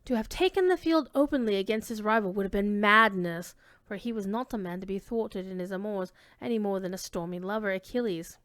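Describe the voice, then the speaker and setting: A woman giving an expressive dramatic reading of classic literary narration, with emphasis on key words. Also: medium pitch, a little fast, no echo, clean studio sound.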